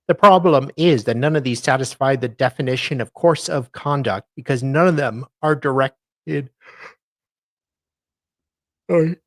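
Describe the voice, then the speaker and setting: A man's voice: mid-range voice, a little slow, a bit bright, dry recording. A man co-host reading formal text aloud, stumbling now and then over the words.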